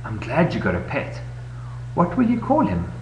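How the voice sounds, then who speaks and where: An eager young schoolgirl from a bedtime storybook, as acted out by a man. The pitch jumps up high and swoops with friendly excitement, over a noisy, echoey home recording. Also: normal speed, muffled recording. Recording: echoey room, noisy webcam audio with hum.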